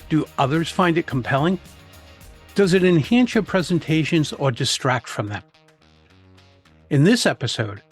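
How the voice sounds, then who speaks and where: A man hosting a public speaking podcast, reading a measured, clear introduction and asking his guest questions. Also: on the high side, no room sound. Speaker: podcast host. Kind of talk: scripted introduction and interview questions.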